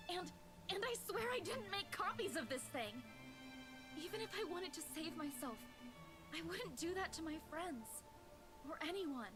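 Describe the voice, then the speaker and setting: An actress playing an earnest, guilt-ridden young woman, speaking urgently in dramatic visual novel style. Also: very high voice.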